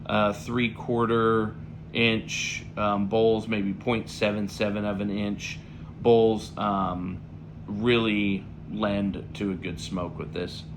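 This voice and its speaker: A man with a slightly raspy voice, still getting over an illness, talking through a pipe tobacco review in a relaxed, rambling way with lots of fillers. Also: fairly low pitch, takes it slowly, noisy background. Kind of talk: casual spoken review.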